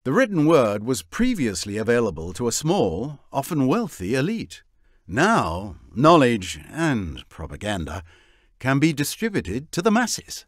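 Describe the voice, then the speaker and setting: A man delivering scripted cinematic narration for a game, with a wry, deliberate storyteller's manner. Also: mid-range voice, wildly swooping pitch, dry recording, studio quality. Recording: voice over prominent music score.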